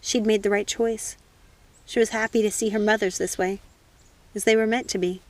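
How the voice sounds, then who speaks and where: A woman's voice: mid-range voice, fairly even intonation, moderate pace, no room sound. A woman narrating a novel as a storybook reader and host. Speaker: audiobook reader and channel host.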